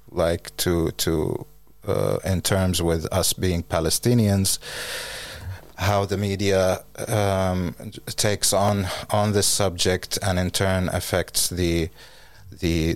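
A young man from Finland speaking as a guest on a panel, halting, with many fillers and restarts. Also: low-pitched, smooth. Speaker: guest, designer and media artist. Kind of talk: panel discussion.

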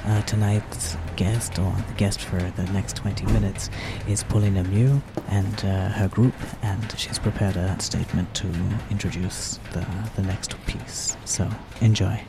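A man hosting a radio show, giving a subdued, halting introduction. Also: sits low, little pitch movement, noisy background. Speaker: radio host.

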